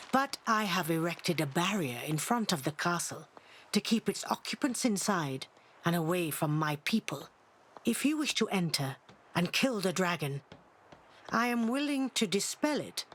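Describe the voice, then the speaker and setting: Proud elder seer woman, fantasy game; lowish pitch, deliberate pace, haughty and mysterious. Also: slight background noise. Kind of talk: fantasy game dialogue acting.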